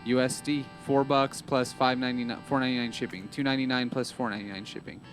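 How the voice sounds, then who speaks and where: Man, co-host, relaxed casual chat, even middle pitch, faint music behind. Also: quick pace.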